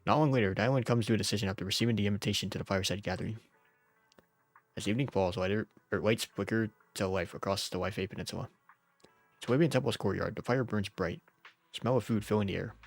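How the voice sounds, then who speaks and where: A man's voice: lowish voice, fairly even intonation, quick pace, vocal fry, no echo, soft background music. A man who is under the weather, streaming a game with informal, jokey commentary and reading out story text. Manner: informal, jokey, often chuckling. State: sick.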